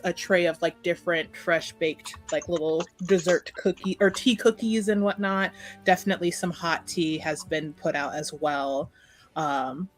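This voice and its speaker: A woman casually describing a scene in a tabletop roleplaying game, feeling her way through tentative phrases. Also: lowish voice.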